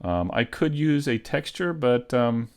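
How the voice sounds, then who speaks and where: A man talks through a livestream tutorial at a very unhurried pace, with a lot of creak in his voice. He sounds casual and a little hesitant, pausing as he thinks aloud. His pitch sits in an ordinary middle range. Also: animated. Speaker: livestream host teaching 3D modeling.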